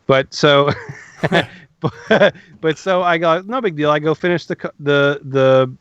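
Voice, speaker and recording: A man's voice: a little high, a little slow, a bit bright, clear, resonant tone, lo-fi sound. A man co-hosting a gaming podcast from a closet, telling rambling stories and laughing at himself. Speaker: podcast co-host. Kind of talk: casual podcast chat.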